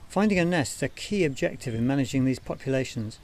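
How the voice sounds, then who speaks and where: Guy doing scripted wildlife film narration, medium pitch, measured, explains things clearly step by step. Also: lively intonation, a little mellow. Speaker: narrator of a bird observation training film.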